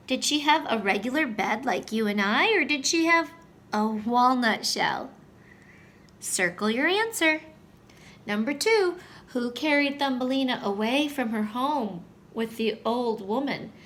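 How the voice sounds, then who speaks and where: A woman's voice: sits high, a bit bright. A woman teacher leading story time for young children, talking to them directly in a sing-song way with simple questions.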